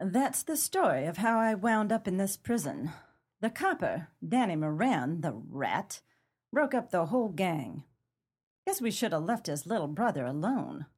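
A husky, tough gangster moll. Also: female voice, lowish voice, big pitch swings, unhurried, darkish tone, heavily acted. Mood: bitter, wistful. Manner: slangy, hard-boiled, dramatic. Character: tough, bitter, lovelorn 1930s gangster moll. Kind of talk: pulp crime radio play acting.

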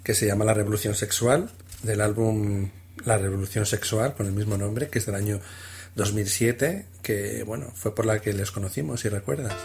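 A man co-hosting a casual music podcast, introducing songs in a relaxed, halting way. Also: on the low side, gravelly. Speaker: podcast co-host. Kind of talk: casual radio chat, playing another show's host.

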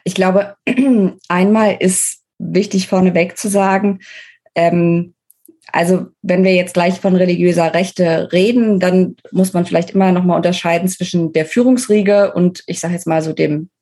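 A woman's voice: fairly low pitch, fairly even intonation, unhurried, warm tone. A woman, an author explaining things conversationally as an interview guest.

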